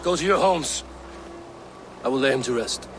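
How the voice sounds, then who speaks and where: A man's voice: medium pitch, moderate pace, a bit bright, lightly acted. An actor playing a proud, fierce male Viking chieftain who speaks solemnly and gives commands. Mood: rallying. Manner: solemn, commanding, oath-swearing. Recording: game audio with noisy background.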